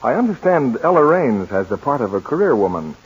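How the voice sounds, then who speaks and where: A man's voice: medium pitch, big pitch swings, a little fast, breathy edge. A man announcing and asking leading questions in a scripted radio intermission. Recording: hissy lo-fi 1940s radio broadcast.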